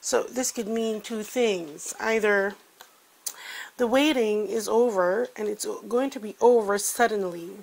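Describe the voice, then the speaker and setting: A woman's voice: medium pitch, measured pace, gravelly, a little mellow, rough recording. A woman with a congested voice, giving a chatty weekly tarot reading with many fillers. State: congested from a cold. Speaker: tarot reader.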